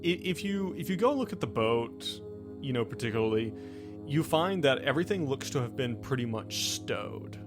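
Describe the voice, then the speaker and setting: A man running a tabletop roleplaying game, improvising scene narration in a conversational, halting way with frequent rephrasing. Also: medium pitch, lively intonation. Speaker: game master. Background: background music.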